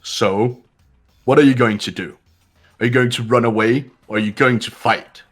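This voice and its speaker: A man's voice: medium pitch, steady tone, normal speed, soft background music, close to an everyday voice. A man playing a stern, commanding young leader. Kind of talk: amateur voice acting of a video game.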